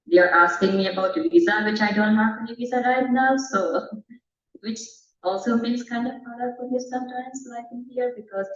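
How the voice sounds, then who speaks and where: A woman's voice: fairly low pitch, distant mic. A young woman from Afghanistan, a college student, telling her story in a hesitant way with many fillers. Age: young adult. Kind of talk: personal testimony. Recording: dull, roomy sound from off mic.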